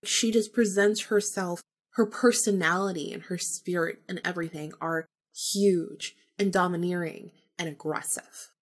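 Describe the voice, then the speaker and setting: A woman's voice: lowish voice, steady tone, measured pace, few pauses, slightly rough. A woman podcast host telling a personal story casually, informally acting out remembered bits of dialogue.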